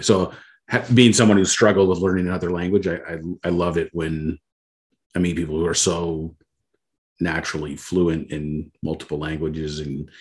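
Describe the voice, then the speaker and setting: A man from Canada hosting a casual interview over a video call, friendly and rambling, full of fillers. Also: a bass voice. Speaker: interview host.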